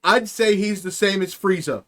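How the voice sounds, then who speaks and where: A man on a livestream who cuts in with blunt, crude jokes. His voice is clearly high for a man. He talks in an offhand, mocking way. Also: normal speed, a bit bright. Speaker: podcast co-host. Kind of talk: casual livestream banter.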